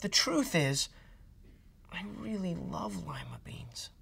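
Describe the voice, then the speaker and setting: A man's voice: sits high, wildly swooping pitch, slow, vocal fry, fairly bright tone, distant mic, a little hiss or hum, voice pushed up, full character voice. A man voicing an anxious young schoolgirl in a storybook, crying out her feelings. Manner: emotional, cries out. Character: young girl with a strange illness.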